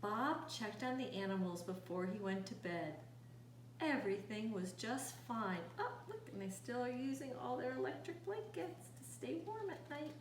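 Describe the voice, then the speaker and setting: A woman reading a children's picture book aloud expressively, pausing to ask young listeners questions. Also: a little high, expressive. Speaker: storytime host reading aloud. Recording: roomy echo, distant mic, faint noise.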